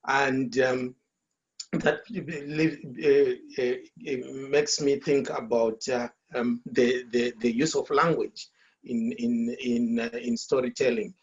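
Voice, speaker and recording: A man from Zimbabwe whose first language is Shona, talking slowly in English on a video call, with many fillers and repeated words. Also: medium pitch, fairly even intonation, muffled recording. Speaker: author. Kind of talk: speaking at an online launch.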